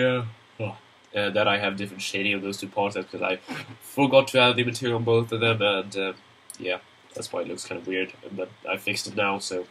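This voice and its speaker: A man's voice: lowish voice, bright, forceful tone. A young man with a Swedish accent, nasal from a cold, narrating a screen-recorded modeling tutorial in a rambling, chatty way with many fillers. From Sweden.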